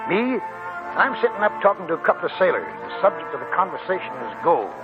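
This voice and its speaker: Wry old prospector, high husky voice, folksy rambling storyteller, muffled vintage radio recording. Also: male voice, very animated, fast talker. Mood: wry reminiscing. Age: older. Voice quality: chuckling, raspy old man's voice. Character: talkative, wry old gold prospector, down on his luck. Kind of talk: 1940s radio drama acting. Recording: muffled 1940s radio broadcast with music.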